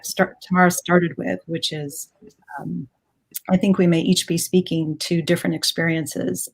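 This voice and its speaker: A woman from Ethiopia, a guest on a live online panel, speaking in a thoughtful way with many fillers. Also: low-pitched. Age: adult.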